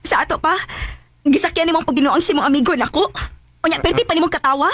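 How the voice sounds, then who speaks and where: A woman's voice: high voice, fast, a bit bright, soft background music. A woman playing a plaintive, hurt young wife in a radio drama, with a Filipino Bisaya accent. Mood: hurt, angry. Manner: plaintive, pleading.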